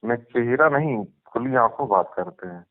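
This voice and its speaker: A man's voice: medium pitch, expressive, moderate pace. A man calling in for religious advice, talking in short conversational replies over the telephone. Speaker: caller seeking religious advice. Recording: tinny phone call recording.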